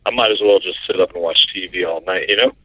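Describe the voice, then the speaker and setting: A man from Iowa, co-hosting a podcast, chatting in a relaxed, easygoing way over a remote connection. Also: low-pitched, quick pace, dry recording, muffled recording. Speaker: podcast co-host. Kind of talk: casual chat over a remote line.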